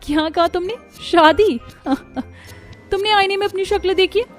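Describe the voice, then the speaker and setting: Woman playing a haughty high-pitched young diva. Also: a little slow, soft background music. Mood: mocking. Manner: haughty, dismissive, teasing. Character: conceited, brash, modern young college woman, mocking. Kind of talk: Hindi cartoon story voice acting.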